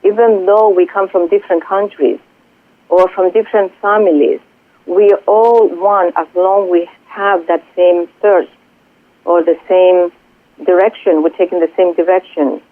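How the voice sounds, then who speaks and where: Woman, Greek accent, spiritual coach, earnest, halting with many pauses, tinny phone line, dry sound. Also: on the low side, steady tone, dry recording. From Greece. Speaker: spiritual coach and Reiki healer. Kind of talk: radio interview guest by phone. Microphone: telephone.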